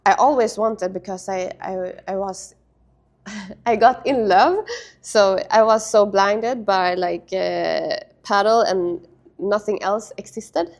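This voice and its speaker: A young woman raised in Sweden answers interview questions in a loose, halting way, with many fillers and restarts. Her voice sits at an ordinary middle pitch for a woman. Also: darkish tone. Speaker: interview guest, padel player. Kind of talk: casual interview.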